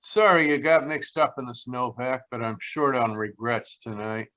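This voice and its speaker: A man's voice: mid-range voice, normal speed, sharp tone, close to an everyday voice. An actor playing a sneering, menacing older little gunman in a 1940s-style radio noir drama. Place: home room, live video call performance. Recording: dull, lo-fi video call audio.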